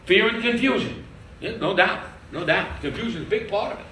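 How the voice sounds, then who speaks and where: An older man teaching a lively interactive Torah class as a rabbi, quizzing his audience and joking. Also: high voice, a little fast. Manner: animated, quizzes the audience, jokes. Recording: echoey room from far off with noise.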